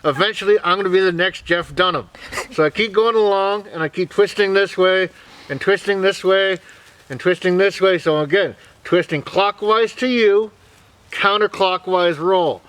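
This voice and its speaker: A man talking with cord held in his teeth, so his voice comes out nasal and muffled with a lisp, louder and higher than usual. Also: normal speed, clear, resonant tone.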